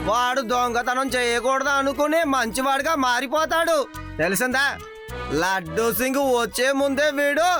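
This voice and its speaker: A man playing a boastful, self-important cartoon lawman with a pompous, bragging way of talking. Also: very high voice. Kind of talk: dubbed cartoon comic acting.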